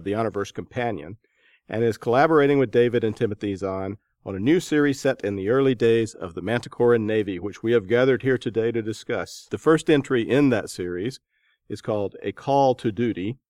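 A man with a lowish voice hosts a podcast interview. He moves between introductions and questions for his guests. The sound is dry, with very little room. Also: normal speed, darkish tone, dry recording.

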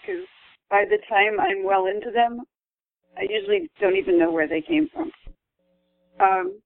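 High, creaky woman on a phone-like line. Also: no echo. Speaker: author, panel guest. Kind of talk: casual anecdotal chat.

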